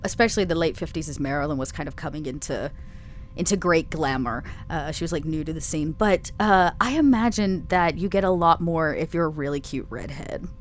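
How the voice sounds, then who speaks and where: A woman's voice: low-pitched, a little fast, a little hiss or hum. A woman playing a tabletop game, casually describing her character in a musing, piece-by-piece way.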